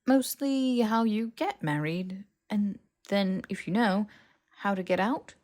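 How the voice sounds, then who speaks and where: A woman's voice: mid-range voice, slow pace, a little mellow, voice pushed up, natural voice. Lady doing a young guy, casual but evasive, hesitates a lot, audiobook character.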